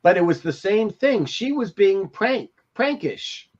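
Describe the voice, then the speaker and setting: Man in his seventies, noticeably high voice, informal storyteller looking back, slightly dull headset-call audio. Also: very animated, a little slow. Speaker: show regular, former TV producer. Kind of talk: casual reminiscing. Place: home room, video call.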